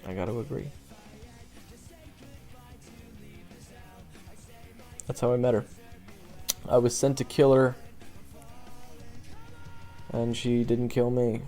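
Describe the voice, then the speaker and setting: Young male monster hunter, played by a male actor, audio drama monologue. Casual, self-deprecating, trailing off; fry-heavy, expressive pitch, music underneath. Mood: somber, reflective. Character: young monster-hunting swordsman, wry, wounded, easygoing. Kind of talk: conversational audio roleplay monologue.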